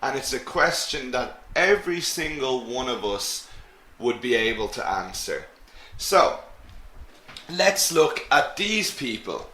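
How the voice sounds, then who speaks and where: Slow-speaking male English teacher. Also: mid-range voice, big pitch swings, sharp tone, echoey room. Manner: slow, clear teaching delivery addressing students. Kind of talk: online English lesson.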